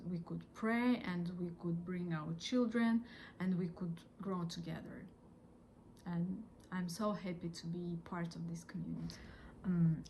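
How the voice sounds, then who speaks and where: A woman from Russia, giving an earnest personal testimony about her faith, with gentle pauses and frequent fillers. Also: lowish voice, vocal fry, slight background noise. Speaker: convert sharing her faith story.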